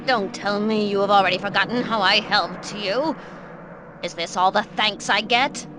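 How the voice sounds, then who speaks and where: An actress playing a scheming, vengeful female servant of Dracula in a game cutscene, speaking in a slow, coaxing and gloating manner. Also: medium pitch, noisy background, close to an everyday voice. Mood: bargaining. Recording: game audio with clear music score.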